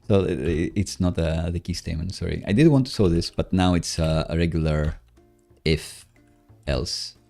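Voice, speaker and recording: A man giving casual live coding commentary, halting as he thinks aloud while he works. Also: sits low, creaky, dark tone, faint music behind.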